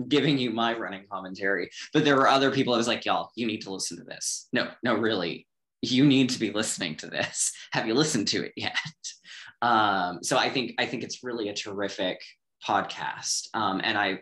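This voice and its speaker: A man's voice: lowish voice, moderate pace, a bit bright. A man hosting a casual podcast interview in an encouraging, enthusiastic manner. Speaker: co-host interviewer.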